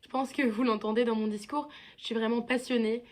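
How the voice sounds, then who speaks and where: A woman in her early twenties from France records a thank-you message as a scholarship student. Her voice sits a little high, and she speaks earnestly and gratefully, with occasional hesitant fillers. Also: fairly even intonation, fairly quick, a little mellow. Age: 20s.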